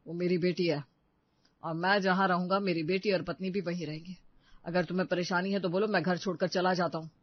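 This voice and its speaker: A woman's voice: lowish voice, fairly even intonation, fairly quick, no echo, muffled recording, natural voice. A woman playing an earnest, protective young husband and stepfather in a story, speaking firmly. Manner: firm, earnest.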